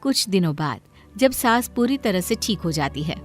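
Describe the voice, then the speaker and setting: A woman's voice: lowish voice, big pitch swings, normal speed, faint music behind. A woman narrator reading a scripted moral story for a cartoon.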